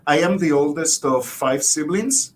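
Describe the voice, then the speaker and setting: A middle-aged man with a Puerto Rican accent chatting casually as an interview guest, with hesitant repeats. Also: measured pace. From Puerto Rico. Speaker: guest, biology professor. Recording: rumbly background noise.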